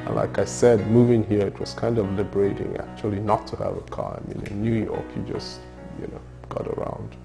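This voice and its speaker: Gravelly man, hesitant and thoughtful. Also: lowish voice, little pitch movement, brisk, over music. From Nigeria. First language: Yoruba. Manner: thoughtful, hesitant, many fillers and restarts. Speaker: interviewee. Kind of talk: oral history interview.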